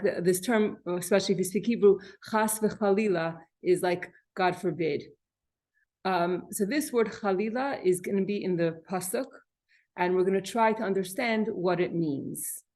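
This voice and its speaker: Lady teacher doing an informal lesson, really slow pace, keeps rephrasing and asking questions. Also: medium pitch, a little mellow. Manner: halting, repeats and rephrases points, poses questions to listeners. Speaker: teacher of a Torah class. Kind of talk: informal spoken lesson explaining Hebrew vocabulary.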